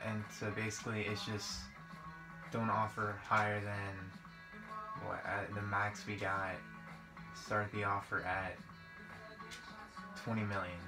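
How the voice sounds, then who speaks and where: A man's voice: lowish voice, little pitch movement, slow, long pauses, rough recording. A young man doing casual gameplay commentary in a hesitant, rambling, informal way. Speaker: gaming video creator. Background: guitar music.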